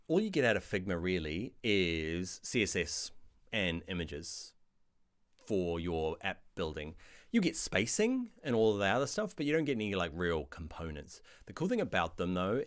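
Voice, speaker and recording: Lively low-voiced New Zealand man. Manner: chatty, reads viewer questions aloud, animated asides. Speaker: online design course instructor. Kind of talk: casual live Q&A.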